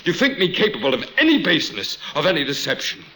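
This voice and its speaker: An actor playing a cold, impatient aristocratic officer and lover, declaiming theatrically in an old-time radio drama. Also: male voice, a little high, wildly swooping pitch, fast, sharp tone, exaggerated voice, low-quality recording. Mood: defensive, scornful.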